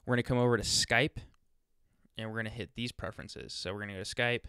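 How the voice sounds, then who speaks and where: A man presenting a casual software walkthrough, chatting informally and thinking aloud as he demonstrates, speaking into a close USB microphone. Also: fairly low pitch, steady tone, fast, clean tone, no room sound. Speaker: tutorial presenter.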